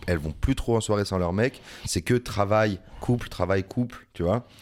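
A man's voice: on the low side, moderate pace. A man in a casual podcast chat, emphatic and joking as he lays out his views.

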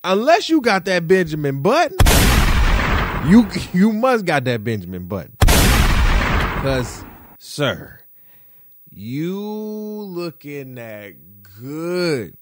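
A podcast host from Detroit doing solo commentary, roasting people in a slangy, playful way with lots of repetition. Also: male voice, a little high, very animated, very slow, pauses often.

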